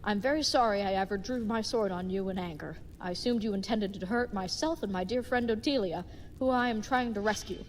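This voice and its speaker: Audio drama knight female actor: gallant, simple-minded, sincere, formal old-fashioned diction, mid-pitched, echoing hall sound. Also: brisk, slight background noise, close to an everyday voice. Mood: gentle, sincere. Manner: formal, stilted, uncontracted, chivalrous speech. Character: earnest, dim-witted, gallant young knight.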